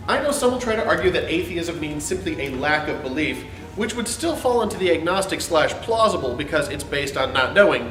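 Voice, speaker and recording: A man's voice: high voice, fairly bright tone. Male reader, theatrical and emphatic, swooping delivery of a scripted argument, echoey and distant over music. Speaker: host reading his own essay. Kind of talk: dramatic reading of an argumentative script. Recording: roomy, off-mic sound with background music.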